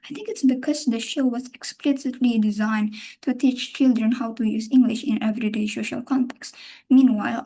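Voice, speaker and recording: Young Indonesian woman presenting, soft and mellow. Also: on the high side, low-quality recording. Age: young adult. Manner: careful, measured delivery with occasional self-corrections. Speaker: university student presenter. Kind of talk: class presentation, partly read.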